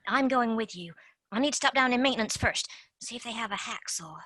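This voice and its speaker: A woman's voice: a little high, fairly quick, sharp tone, no room sound. A woman playing a brash, scheming female tooth fairy agent in a stage play. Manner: brash, wheeling-dealing.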